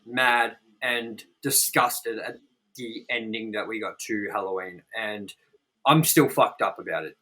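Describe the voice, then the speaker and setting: A man's voice: medium pitch, pushed and bright, low-quality recording. A man co-hosting a casual, opinionated film review chat, speaking in a blunt, sweary, exaggerating way. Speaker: co-host of a movie review show.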